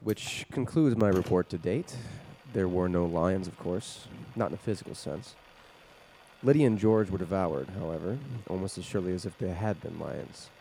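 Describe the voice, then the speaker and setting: Man acting a very deep, mellow radio-play doctor. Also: creaky, a little hiss or hum, poor audio. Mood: clinical, grave. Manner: measured, clinical, formal. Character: grave male psychologist doctor in a sci-fi radio play.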